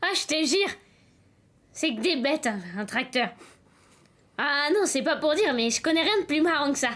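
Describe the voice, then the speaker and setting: A woman's voice: high-pitched, lively intonation, moderate pace, a bit bright. A woman voicing a mischievous, teasing, folksy tow truck pal from a cartoon.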